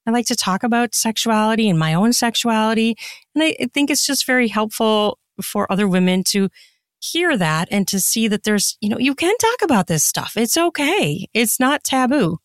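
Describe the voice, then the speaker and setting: A woman's voice: on the high side, lively intonation, moderate pace, no room sound, clean studio sound. A woman chatting casually and candidly as an interview guest, with an earnest way of making her points. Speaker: podcast guest, writer and podcaster.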